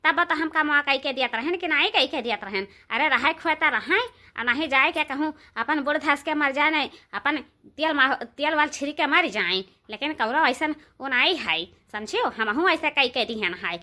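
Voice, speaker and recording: A woman's voice: sits high, expressive, moderate pace, sharp tone. A woman voicing a bossy, scolding village housewife in a comedy cartoon, with an eastern Uttar Pradesh accent and emphatic, repetitive complaints. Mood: indignant scolding.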